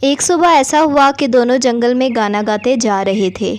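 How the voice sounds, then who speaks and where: A woman's voice: fairly high pitch, normal speed, crowd noise. A woman reading scripted narration for a children's cartoon story.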